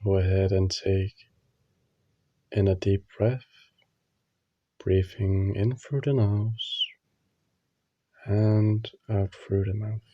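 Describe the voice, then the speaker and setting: A man guides a meditation in a calm, soothing manner. His voice is lowish and very even, with little melodic movement, and he leaves long pauses between phrases. The recording is dull and slightly muffled. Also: no room sound.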